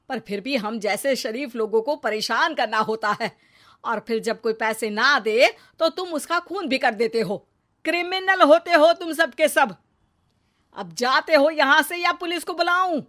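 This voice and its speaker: A woman voicing a stern, sharp-tongued middle-aged mother-in-law in an animated moral story, sarcastic and easily offended. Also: high-pitched, a little slow. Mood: angry scolding.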